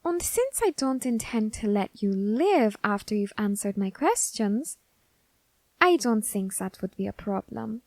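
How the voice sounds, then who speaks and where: A woman's voice: medium pitch, very animated, darkish tone, dry recording. A woman playing an eager, curious young Grim Reaper scholar in an ASMR roleplay, fascinated and politely menacing. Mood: playful threat. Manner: fascinated, deliberate, politely threatening. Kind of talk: ASMR roleplay addressed to the listener.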